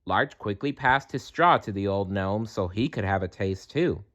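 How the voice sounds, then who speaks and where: A man reading a children's story aloud in an expressive narrating style. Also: on the low side, normal speed. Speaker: storybook reader.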